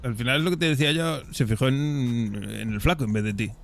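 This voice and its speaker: Teasing Spaniard streamer, crude jokes. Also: male voice, medium pitch, brisk, creaky. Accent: Spain Spanish. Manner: crude, teasing, joking banter. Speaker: streamer, roleplay player. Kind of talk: roleplay game chat.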